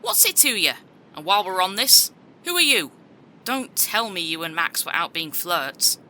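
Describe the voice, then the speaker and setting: A woman playing a brash, sharp-tongued secret agent in an audio drama, with a blunt manner. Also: fairly low pitch, sing-song, normal speed, full character voice. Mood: annoyed. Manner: blunt, challenging.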